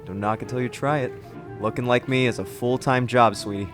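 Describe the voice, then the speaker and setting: A man's voice: mid-range voice, steady tone, brisk, music in the background, lightly acted. A man playing a vain, sarcastic tech specialist with a flippant manner in a radio play.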